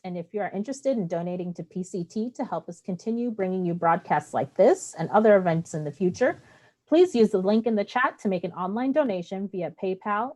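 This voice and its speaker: A woman's voice: mid-range voice, darkish tone. A woman speaking as the host of an online theatre broadcast.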